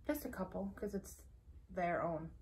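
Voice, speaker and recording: A woman's voice: on the low side, unhurried, a little mellow, low-quality recording. A woman, giving short, reserved answers in a casual interview. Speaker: preschool teacher guest. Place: small classroom, indoors.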